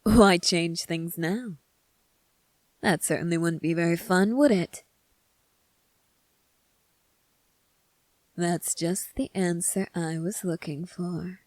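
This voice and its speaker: A woman playing a cunning, self-assured young prince in an ASMR roleplay, speaking in a measured, formal, teasing way. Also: fairly low pitch, darkish tone, dry recording, close to an everyday voice. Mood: smug, teasing.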